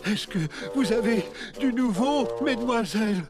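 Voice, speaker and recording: High-voiced, anxious, courtly cartoon gentleman. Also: a little slow. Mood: polite. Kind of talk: dubbed children's cartoon acting. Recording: loud music behind the voice. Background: loud music.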